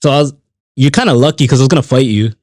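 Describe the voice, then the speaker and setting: A man's voice: medium pitch, very fast, a little mellow, no room sound. A man in his late twenties talks casually on a podcast, ribbing his co-host with playful mock outrage. His voice sits in a middle register. The sound is dry and close, with no room around it. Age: 20s. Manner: teasing, playful banter. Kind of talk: casual chat.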